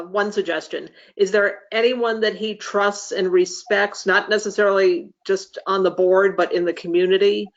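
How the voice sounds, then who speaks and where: A woman with an American accent moderating an online group discussion. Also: medium pitch. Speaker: meeting moderator. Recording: dull, lo-fi meeting call audio.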